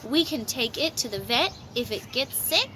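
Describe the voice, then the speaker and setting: A woman's voice: sing-song, normal speed, sharp tone, faint music behind, exaggerated voice. A woman voicing an eager, polite young boy turtle from a storybook, earnest and childlike. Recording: noisy outdoor amateur recording, ambient rumble.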